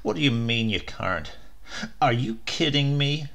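A man's voice: medium pitch, lively intonation, a little slow, creaky, a bit bright. A man voicing a weary, irritable working husband in a dramatised story reading, sighing and grumbling. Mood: irritated.

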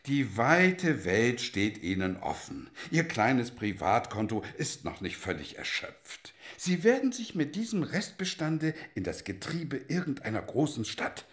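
Slow, animated, worldly godfather. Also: mid-range voice. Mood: grandly encouraging. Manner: theatrical, florid, deliberate speech. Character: worldly, avuncular godfather grandly advising a family. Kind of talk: German radio play acting.

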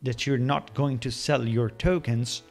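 Man explaining crypto over soft guitar. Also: mid-range voice, fairly even intonation, a little slow, vocal fry. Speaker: crypto channel host. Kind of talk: scripted voice-over explainer with screen walkthrough. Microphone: voice-over over screen recording. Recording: faint background guitar music.

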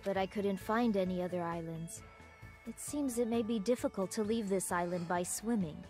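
A woman's voice: medium pitch, moderate pace, a little mellow, soft background music, lightly acted. A woman voicing blunt, tough young women from a video game, reading their dialogue in a matter-of-fact way. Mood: stoic, flat.

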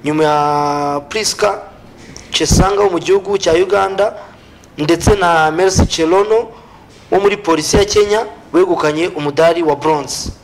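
A man's voice: a little high, normal speed, slight background noise. A young man with a Rwandan accent presenting sports talk and a round-up of results in a studio. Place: small TV studio, indoors.

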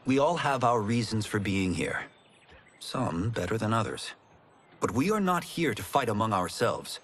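A man's voice: lowish voice, normal speed, slight background noise. An actor playing an earnest young male fighter, a farm boy, in a video game cutscene. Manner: sincere, calm.

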